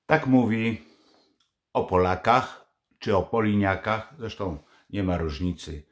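A man's voice: on the low side, takes it slowly. An older man vlogging from his living room to a close camera, scolding and mocking in a sarcastic tone. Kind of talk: angry political commentary to camera.

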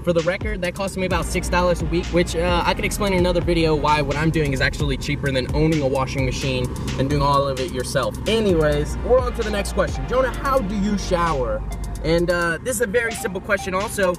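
A man's voice: a little high, quick pace, music in the background. A young man talking casually to a handheld camera from inside his parked car, in a loose, joking, rambling way. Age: young adult. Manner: upbeat, rambling, jokey. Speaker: vlogger. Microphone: handheld camera at arm's length.

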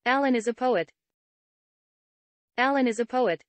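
A woman reading short English sentences slowly and clearly for learners, repeating each one evenly. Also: a little high, no echo.

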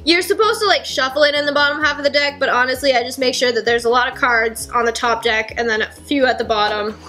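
A young woman in her twenties casually explaining board game rules at home, chatty with lots of fillers, her voice a little hoarse. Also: high voice, quick pace, pushed and bright, soft background music. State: slightly hoarse. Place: home kitchen, indoors. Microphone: fixed camera at table.

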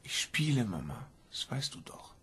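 An actor playing a determined, secretive young son in a radio crime drama, with a husky, creaky voice. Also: male voice, mid-range voice, sing-song, normal speed, fairly bright tone, slight background noise. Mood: earnest, defensive.

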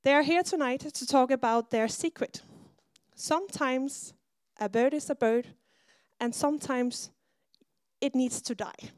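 Woman event host, scripted introduction, slow pace, higher voice, dry sound. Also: darkish tone, no room sound.

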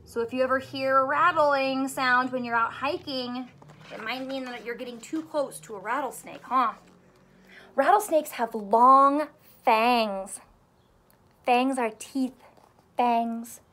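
A woman in her thirties, a primary school teacher reading aloud to young children in an expressive, carefully enunciated way and asking them questions. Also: high voice, takes it slowly. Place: small home room with sofa and soft toys.

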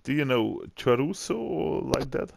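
Slow, gravelly Austrian man gaming. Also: medium pitch, big pitch swings, no room sound. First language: German. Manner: chatty, joking, laughs often. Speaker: gamer. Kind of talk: casual chat while gaming.

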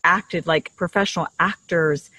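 A woman's voice: on the low side, steady tone, a little slow, bright, forceful tone, no echo, rough recording. Middle-aged woman, conversational and dry-sounding. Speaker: podcast co-host. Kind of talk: conversational explaining. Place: outdoors in a leafy garden. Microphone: desktop condenser microphone shared between two.

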